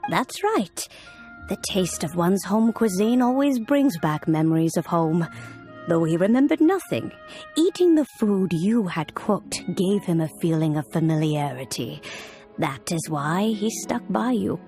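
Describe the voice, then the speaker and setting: A woman voicing a serene, kindly old woman in a video game, speaking in a measured, soothing, old-fashioned way. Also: fairly low pitch, expressive, warm tone, faint music behind. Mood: kindly, content.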